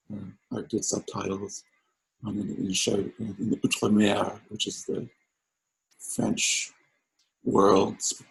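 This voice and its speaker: A man who grew up speaking Samoan, an artist answering panel questions in a halting way with many fillers and restarts. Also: on the low side, creaky, low-quality recording.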